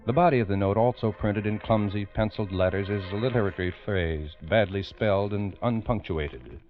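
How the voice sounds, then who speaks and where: A man narrating a true-crime radio drama in the 1940s style, grave, formal and deliberate. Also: on the low side, lightly acted. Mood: grave, measured. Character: grave male narrator of a police case. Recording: muffled vintage radio recording, music behind.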